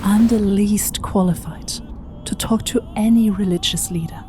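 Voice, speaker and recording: A woman's voice: mid-range voice, fairly even intonation, normal speed, mellow, faint music behind, lightly acted. A woman playing a witty, self-doubting human intermediary in an audio drama, with a conversational, hesitant manner. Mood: anxious.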